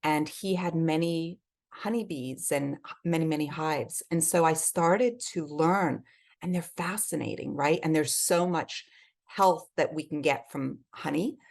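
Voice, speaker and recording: Effusive low-pitched woman podcast guest. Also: a little slow, creaky. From Switzerland and England. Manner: effusive, emphatic. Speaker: podcast guest, health advocate. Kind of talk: conversational interview.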